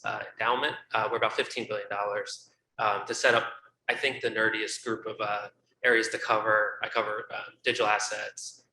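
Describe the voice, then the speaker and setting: A man speaking as a panelist about his investment role, quick and a little self-deprecating. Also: lowish voice, bright, forceful tone. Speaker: endowment investment staff, panelist. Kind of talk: conference panel remarks. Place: conference room with live audience. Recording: echoey room, faint hum.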